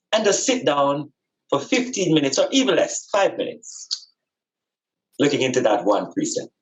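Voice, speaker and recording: A man's voice: mid-range voice, muffled recording. A man speaking as a spiritual teacher in conversation, earnest and emphatic, repeating phrases for effect.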